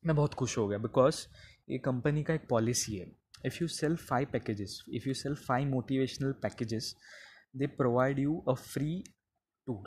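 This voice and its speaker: A man's voice: mid-range voice, warm tone, a little hiss or hum. A man hosting a podcast, telling a comedic personal story conversationally and slipping between languages. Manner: conversational, mixes Hindi with English phrases.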